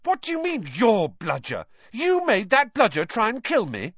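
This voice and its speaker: A narrator voicing a suspicious, indignant boy wizard in a storybook, with sharp, demanding questions. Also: medium pitch, wildly swooping pitch, measured pace, dry recording, dull audio, voice pushed up, full character voice. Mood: angry.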